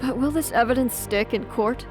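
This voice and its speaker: Woman as a tough, high-voiced, sceptical female cop. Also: normal speed, over music, natural voice. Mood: firm, sceptical. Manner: dry, cynical, firm. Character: tough, no-nonsense, sceptical female police officer. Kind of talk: audio drama acting.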